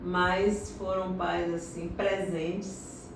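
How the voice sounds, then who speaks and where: Middle-aged woman, low voice, distant mic, echoey noisy room, unhurried storyteller. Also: flat delivery. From Salvador, Bahia, Brazil. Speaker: interviewee, doctorate holder. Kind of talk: personal life story interview.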